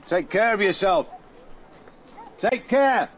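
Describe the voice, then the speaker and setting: A man's voice: high-pitched, animated, slow, background noise. An actor playing a concerned man of the streets in a radio drama.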